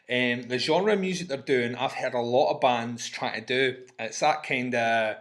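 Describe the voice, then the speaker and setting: A man's voice: mid-range voice, a bit bright. Chatty Scottish man reviewing on webcam. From Scotland. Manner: chatty and swears freely. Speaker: music reviewer. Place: small room at home.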